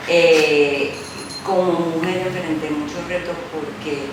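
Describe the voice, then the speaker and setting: A middle-aged Puerto Rican woman, a journalist, answering interview questions in an animated way, with many "eh" pauses and English fillers. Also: deep voice. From Puerto Rico. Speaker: journalist, former news director. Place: art gallery space. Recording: echoey room, mic far off, noisy.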